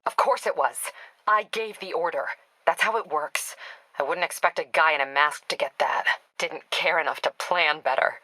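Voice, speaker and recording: A woman's voice: sits low, normal speed, pushed and bright, no room sound, rough recording. An American woman playing a tough, driven police captain in a video game, speaking in a clipped, authoritative, dry manner. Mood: bitter, resolute.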